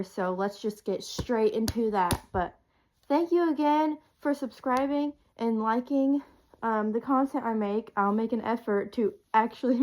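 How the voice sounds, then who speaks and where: A woman's voice: medium pitch, darkish tone, low-quality recording. A woman in her twenties getting over a cold, sniffly, chatting casually and rambling with ums and small laughs as a video creator. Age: 20s. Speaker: content creator.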